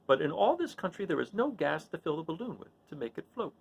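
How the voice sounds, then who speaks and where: Man putting on a high, animated little wizard. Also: fast, vocal fry, breathy edge, heavily acted. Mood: explaining. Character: little old humbug wizard, male, chatty, grandly commanding. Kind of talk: storybook read-aloud character voice.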